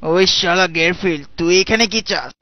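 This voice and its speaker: A man with a Bangladeshi accent voicing a threatening, slow-witted cartoon guard dog that barks out challenges. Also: sits high, no echo, lo-fi sound. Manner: barked challenges, bullying. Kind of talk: colloquial comic cartoon dub.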